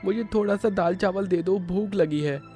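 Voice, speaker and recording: A man's voice: sits high, moderate pace, dark tone, faint music behind, pitched above the performer's own voice, natural voice. A man voicing a small, pleading younger brother in a cartoon story. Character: hungry little boy, pleading younger brother. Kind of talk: Hindi cartoon story acting.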